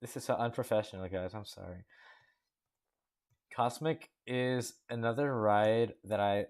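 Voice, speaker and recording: A man's voice: on the low side, unhurried, a little mellow. A young man hosting a casual contest review, rambling through frank critique with many fillers. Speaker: host judging a coaster-building contest. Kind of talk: casual commentary over gameplay.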